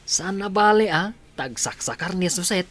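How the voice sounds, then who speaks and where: A woman's voice: lowish voice, expressive, moderate pace, a little hiss or hum, lightly acted. A woman playing a cocky, mischievous schoolboy in a comedy radio drama, cheeky and boastful. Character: cocky, mischievous schoolboy who shirks studying.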